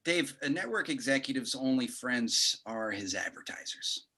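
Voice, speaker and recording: A man's voice: medium pitch, animated, normal speed, pushed and bright. A man playing an aloof, self-important actor in a comedy table read. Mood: dismissive.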